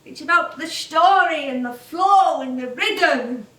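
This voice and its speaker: An actress playing an older English music-hall comedienne on stage, wistful and chatty, reminiscing in a rambling way. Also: female voice, sits high, expressive, measured pace, pushed and bright, heavily acted. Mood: wry, resigned. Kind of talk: theatrical stage monologue and dialogue. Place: theatre stage. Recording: echoey stage, distant microphone.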